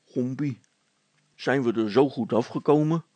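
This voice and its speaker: A man's voice: medium pitch, measured pace, dark tone, no echo, close to an everyday voice. A man voicing a gloomy, whining village policeman in a storybook, plaintive, grumbling and hesitant.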